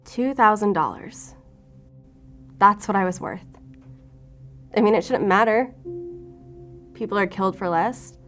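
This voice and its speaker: A woman's voice: mid-range voice, unhurried, pauses often, faint music behind, lightly acted. An actress playing a wounded, guarded female FBI agent who talks with sardonic defiance in an audio drama. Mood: bitter. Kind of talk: audio drama acting, confessional.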